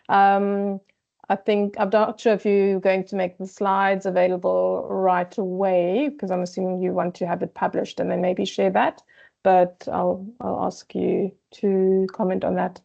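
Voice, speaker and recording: A woman moderator relays chat questions in a flat, creaky, mellow voice. She hesitates often, and the audio comes through as a dull, lo-fi video call. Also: medium pitch, a little slow. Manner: hesitant, many ums. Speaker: meeting moderator. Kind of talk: moderating an online Q&A.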